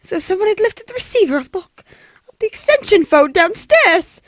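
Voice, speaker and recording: An actress playing a frightened, demanding invalid wife alone at night in a radio thriller, with an imperious, nervous delivery. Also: female voice, very high voice, sing-song, moderate pace, dry recording, clean studio sound. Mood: alarmed. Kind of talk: old-time radio thriller acting.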